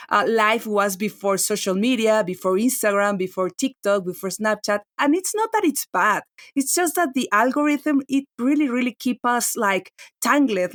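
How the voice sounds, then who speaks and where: A woman's voice: mid-range voice, no room sound, studio quality. A woman in her forties, a tech journalist chatting on a podcast, talking loosely with many restarts and "like"s. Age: 40s. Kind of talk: casual podcast chat.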